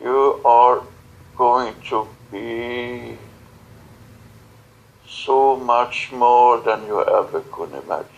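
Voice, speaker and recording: Slow, halting man channeling a spiritual message. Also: medium pitch, gravelly, dull audio. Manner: halting, solemn, broken phrasing. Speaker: channeler in guided visualization session.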